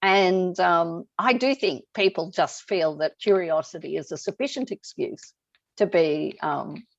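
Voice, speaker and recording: A middle-aged woman with a gravelly, creaky edge to her voice, hosting a relaxed discussion. She thinks aloud and turns questions over to her guest. The audio is a little dull and lo-fi. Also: on the low side, a little slow. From Australia. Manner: reflective, asks guest questions. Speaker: host. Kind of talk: conversational discussion.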